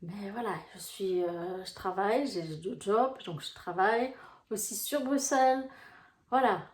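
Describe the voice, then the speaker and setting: A woman's voice: medium pitch, a little slow. A woman from Brussels with a Brussels Belgian accent, a life coach chatting casually to camera in a rambling way. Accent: Brussels Belgian French. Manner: chatty, rambling, full of fillers. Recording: echoey room.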